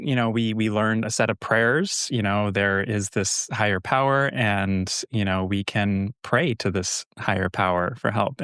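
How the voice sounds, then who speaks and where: A man's voice: on the low side, fairly bright tone, clean tone, no echo, clean studio sound. A young man from California, a podcast guest, speaking reflectively with many fillers and restarts. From California, USA. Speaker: podcast guest, holistic health coach. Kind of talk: casual interview conversation.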